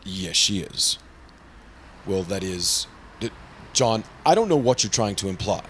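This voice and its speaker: A man playing a low-voiced, fussy radio-play broker. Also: expressive, a little slow, fairly bright tone, slight background noise. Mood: flustered, defensive. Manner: prim, formal, exasperated. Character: fussy, earnest, easily flustered male insurance broker. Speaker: supporting actor in a radio play. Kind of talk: old-time radio drama acting.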